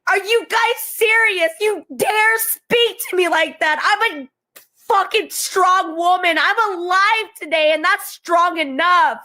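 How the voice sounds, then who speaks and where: A woman's voice: extremely high pitch, takes it slowly, sharp tone. A tearful woman in an emotional livestream rant, agitated and pleading with her viewers.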